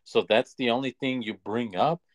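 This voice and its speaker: A man co-hosting a podcast in casual conversation, opinionated and halting as he restarts his points. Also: medium pitch. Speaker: podcast co-host. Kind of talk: casual chat.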